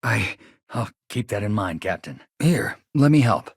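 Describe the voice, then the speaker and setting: An actor playing an earnest, anxious spaceship engineer in a video game, with a husky voice and a hesitant manner. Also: male voice, on the low side, sing-song, unhurried, no echo, clean studio sound, heavily acted. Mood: earnest, helpful. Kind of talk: video game character dialogue.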